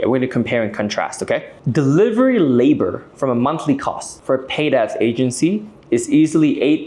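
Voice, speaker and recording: A man around thirty from Malaysia giving an explanatory business pitch to camera, direct and persuasive, often checking in with short tags. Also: darkish tone. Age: late 20s to early 30s. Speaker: video host and AI agency owner.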